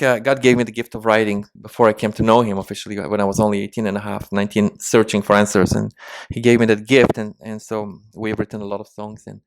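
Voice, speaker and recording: A man's voice: lowish voice, no echo, poor audio. Man, Ukrainian-Israeli accent, dry close sound, hesitant interview answers. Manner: hesitant, many restarts and fillers. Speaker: musician guest.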